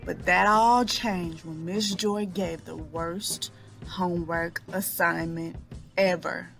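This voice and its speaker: A woman reading a picture book aloud to children in an expressive storytelling style, drawing out key words. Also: fairly low pitch, takes it slowly, vocal fry, soft background music.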